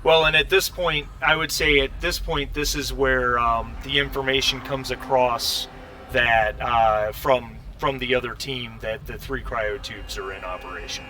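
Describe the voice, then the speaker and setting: A man running a tabletop roleplaying game as game master, halting as he thinks aloud. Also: mid-range voice, noisy background.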